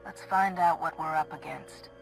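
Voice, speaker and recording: Decisive female Jedi, lowish voice, composed, game cutscene, music behind. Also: normal speed, gravelly, low-quality recording. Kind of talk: video game cutscene acting.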